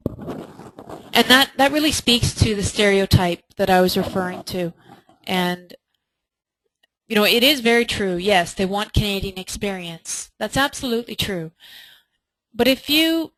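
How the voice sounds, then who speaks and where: A woman's voice: mid-range voice, lively intonation, measured pace, long pauses, fairly bright tone, no room sound, poor audio. Emphatic woman teaching a webinar, dry sound. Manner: emphatic, asks rhetorical questions. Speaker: webinar presenter and resume instructor. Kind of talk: webinar teaching, answering participants' questions. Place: indoors, online webinar.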